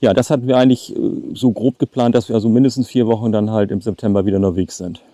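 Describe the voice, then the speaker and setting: A man's voice: fairly low pitch, steady tone, racing, warm tone, no room sound. A man in his late fifties chatting casually to the camera outdoors as a travel vlogger. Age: late 50s. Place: outdoors by a lake.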